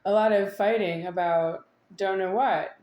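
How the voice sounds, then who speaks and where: A woman giving a rambling, unscripted monologue to an audience, halting at times with drawn-out words and insistent repetition. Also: medium pitch, steady tone, darkish tone. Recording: echoey room.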